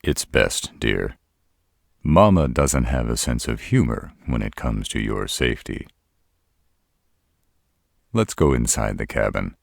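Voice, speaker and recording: Man acting gruff older father, roleplay drama, gravelly fry, slow measured speech, close dry sound, protective, deadpan. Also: no room sound, full character voice. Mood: gentle, firm. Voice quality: deep, gravelly. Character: gruff old father of a werebear's mate, protective, dryly stern.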